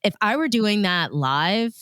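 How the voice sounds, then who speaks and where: A woman's voice: medium pitch, animated, a little slow, fairly bright tone, smooth, no echo, studio quality. A woman speaking as a radio DJ being interviewed, telling stories in casual, conversational chat. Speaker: radio DJ and show host, interview guest.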